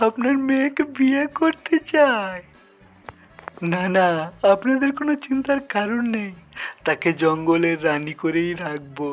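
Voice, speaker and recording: Man acting theatrical lovesick tiger, higher-pitched, music behind. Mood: pleading, eager. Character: lovesick, gullible male tiger looking for a bride. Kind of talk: Bengali children's folktale cartoon acting.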